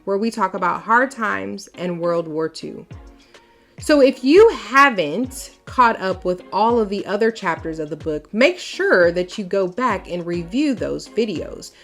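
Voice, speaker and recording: An American woman hosting a storytime, reading aloud in an expressive, measured storytelling style with emphatic phrase openings. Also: medium pitch. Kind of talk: expressive children's read-aloud narration.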